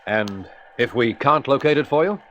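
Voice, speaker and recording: A man's voice: mid-range voice, animated, normal speed, a bit bright, dull audio, slight background noise, lightly acted. A man playing a conscientious, good-natured space adventurer in a radio serial, speaking in an informal, affable way. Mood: earnest questioning. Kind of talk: vintage science-fiction radio serial acting.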